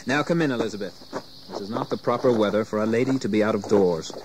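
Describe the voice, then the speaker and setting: An actor playing a proud, ambitious colonial gentleman in a radio drama, formal and resolute. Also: lowish voice, fast, background noise, natural voice.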